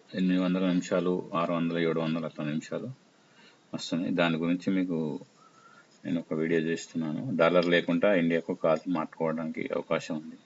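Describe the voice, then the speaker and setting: A man presenting an informal app tutorial, explaining step by step in short, halting phrases. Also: sits low. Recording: muffled lo-fi audio with faint noise.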